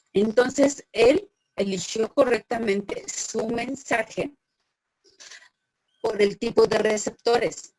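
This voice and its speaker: Slow-speaking woman teacher. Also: a little high, dry recording, poor audio. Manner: patient, questioning, praises students by name. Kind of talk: online class lecture, calling on students.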